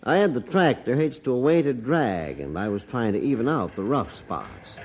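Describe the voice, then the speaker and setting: An actor playing an earnest farmer who tells stories deliberately and expressively in an old-time radio drama. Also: male voice, medium pitch, expressive, darkish tone, lightly acted. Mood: earnest, reflective. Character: earnest farmer recounting his dog's heroics. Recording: faintly noisy vintage radio recording.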